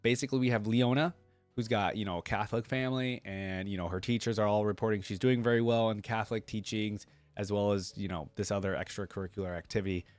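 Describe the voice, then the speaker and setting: A man with a slightly low voice talks at a quick clip in a dry, close recording. He moves between casual commentary and reading lore aloud, explaining it in an informal way. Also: no room sound. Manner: explanatory, often checks with "right?". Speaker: reaction video host.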